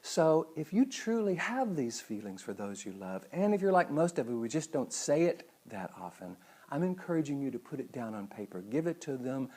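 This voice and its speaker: Middle-aged male host, relaxed mellow timbre, expressive melody, earnest and emphatic, speaking straight to viewers. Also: mid-range voice, moderate pace, breathy edge. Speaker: psychologist and speaker hosting a weekly show. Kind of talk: motivational talk to the audience.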